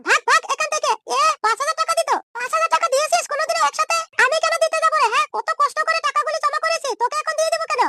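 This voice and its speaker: A man's voice: very high voice, steady tone, very fast, hardly any pauses, over loud music. A man voicing a shameless, quarrelsome, scheming young woman in an amateur comedy cartoon, ranting and taunting through a chipmunk-like voice effect. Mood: indignant. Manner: scolding, ranting in short repeated taunts.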